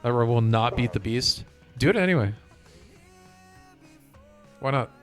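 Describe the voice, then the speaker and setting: A man's voice: medium pitch, expressive, measured pace. A man chatting casually as he livestreams a video game. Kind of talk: casual gaming chat. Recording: music playing behind the voice. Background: music.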